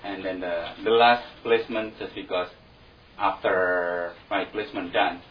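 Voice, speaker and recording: Casting: Indonesian-accented man, very low voice, hesitant phrasing, far from a dull mic. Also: steady tone, measured pace. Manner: hesitant, restarts, non-native phrasing. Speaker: returned volunteer. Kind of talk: informal talk to an audience. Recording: distant, dull mic with faint noise.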